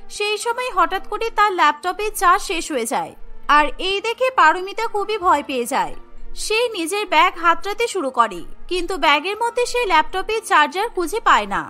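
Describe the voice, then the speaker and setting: A woman's voice: very high voice, sing-song, moderate pace, sharp tone, faint music behind. A woman narrating a scripted cartoon story as its storyteller.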